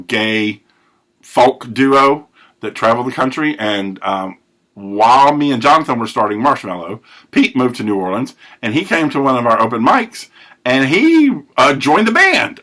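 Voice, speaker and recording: A man hosting a recovery podcast, telling his life story in a rambling, self-correcting way with a big, loud voice. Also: medium pitch. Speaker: podcast host. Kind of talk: personal recovery monologue.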